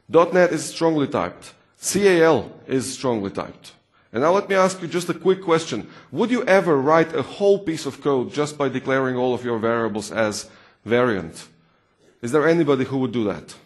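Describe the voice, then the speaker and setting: A man from Croatia with a Croatian accent presents live to a technical audience, informally, often posing questions and repeating words for emphasis. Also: medium pitch. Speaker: conference presenter, software consultant and trainer.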